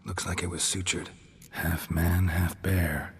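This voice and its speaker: Deep, gravelly, terse monster hunter. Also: male voice, unhurried, heavily acted. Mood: terse, pondering. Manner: terse, understated, deadpan. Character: gruff, laconic male monster hunter with heightened senses. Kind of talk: fantasy video game dialogue. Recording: game music behind the voice.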